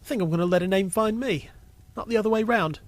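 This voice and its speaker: A man's voice: high voice, fast, no room sound, pitched above the performer's own voice. A man voicing an earnest young man in an audio story, a little defiant and unsure of himself. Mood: earnest, modest. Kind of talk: audio story character acting.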